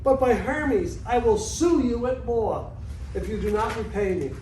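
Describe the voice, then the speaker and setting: A man's voice: very high voice, lively intonation, measured pace, darkish tone. A man acting a luckless, self-pitying moneylender in an amateur reading of a classical comedy, plaintive and melodramatic. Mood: aggrieved. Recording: echoey room, distant mic, faint noise.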